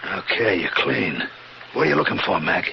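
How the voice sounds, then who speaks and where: Low-voiced menacing gangster, old radio. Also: male voice, sing-song, moderate pace, gravelly, husky, heavily acted. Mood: threatening. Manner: blunt tough-guy slang, barked threats. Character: menacing middle-aged male robber and killer, gruff, suspicious. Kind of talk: radio crime drama acting. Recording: dull, noisy old radio broadcast.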